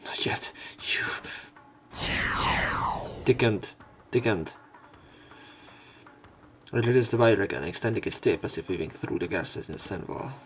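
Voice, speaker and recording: A man's voice: low-pitched, measured pace, long pauses. A man doing a let's play, reading a visual novel aloud as dramatic narration mixed with casual commentary. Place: voice-over on game footage. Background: faint game music.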